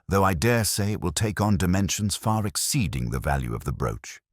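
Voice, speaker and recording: A man voicing a calm, observant, understated police inspector in an audio mystery, measured and dryly musing. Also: deep voice, dry recording, studio quality, pitched above the performer's own voice.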